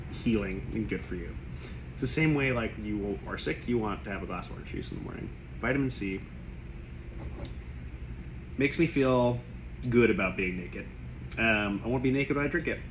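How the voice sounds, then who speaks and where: A man whose voice is going hoarse after a cough. He talks in loose, rambling bursts about the wine in front of him, pausing to clear his throat. His pitch sits in the middle, and faint room noise hums behind him. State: losing his voice. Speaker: wine shop owner tasting wines. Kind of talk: casual tasting video.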